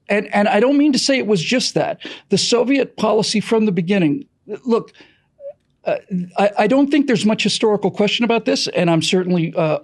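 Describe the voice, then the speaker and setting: A man's voice: high-pitched, creaky. An American man explaining things in an interview in an emphatic, storytelling way. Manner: emphatic, dramatic storytelling with self-corrections. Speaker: podcast creator being interviewed. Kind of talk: animated explanatory interview talk.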